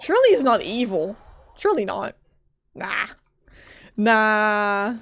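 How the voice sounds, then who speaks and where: A woman's voice: medium pitch, very animated, takes it slowly, gravelly, a bit bright, faint music behind. A young woman game streamer reacting casually to a story as she plays, in a playful, slangy way. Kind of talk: casual live gameplay reaction.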